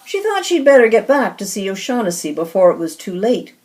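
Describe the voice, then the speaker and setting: A woman narrating an amateur audio drama in brisk, expressive scripted narration. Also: mid-range voice, slight background noise.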